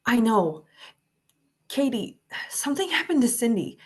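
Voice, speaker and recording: A woman's voice: on the high side, big pitch swings, a little slow, slightly rough, full character voice. A woman playing a caring, anxious young friend on a rescue, speaking earnestly and urgently in a screenplay table read. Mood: concerned.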